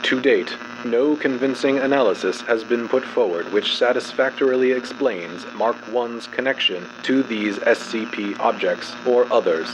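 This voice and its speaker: A man giving a formal, measured, scripted dramatic narration of a clinical report. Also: medium pitch, noisy background.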